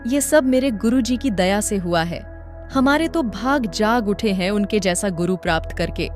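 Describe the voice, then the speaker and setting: A woman's voice: mid-range voice, lively intonation, normal speed, over music, lightly acted. A woman playing a devout, respectful disciple and queen's companion in a devotional cartoon story, speaking earnestly. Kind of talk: devotional animated story acting.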